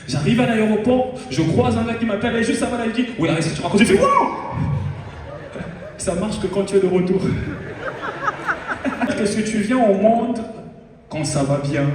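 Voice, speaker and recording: A man's voice: high-pitched, noisy background. A young man from the Republic of the Congo with a Congolese French accent, doing a live stand-up routine and playfully teasing the audience. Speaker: stand-up comedian. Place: large hall on stage. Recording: echoey hall mic from far off.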